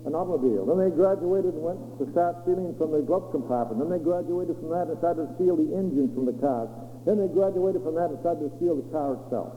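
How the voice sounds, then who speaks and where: A high-voiced man with a Maine accent preaches in a dramatic, swooping storyteller's manner, acting out each quote. His voice rings through a large echoing hall. The sound is thin and phone-like, with a faint hum underneath. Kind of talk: sermon testimony storytelling. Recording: echoey hall over a tinny lo-fi line with faint hum.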